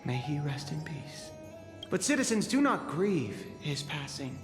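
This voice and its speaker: A solemn fantasy game chaplain, grand speechy delivery, super echoey hall w music behind. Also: male voice, a little high, very animated. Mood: solemn proclaiming. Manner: grand, measured oratory. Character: newly appointed chaplain, solemn male cleric addressing citizens. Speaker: game voice actor. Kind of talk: ceremonial proclamation in a fantasy game.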